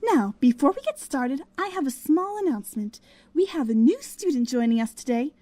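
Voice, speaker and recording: A woman's voice: sits high, lively intonation, moderate pace, dark tone, dry recording. A woman voicing a cheerful, kindly pony schoolteacher with a sing-song manner in an acted audiobook. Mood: cheerful, welcoming.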